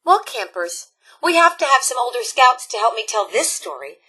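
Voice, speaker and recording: A cheerful, motherly scout leader robot from a video game, with a folksy, theatrical storyteller's delivery. The voice is forceful and bright, with very lively pitch swings. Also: normal speed, husky, exaggerated voice. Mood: cheerful, encouraging.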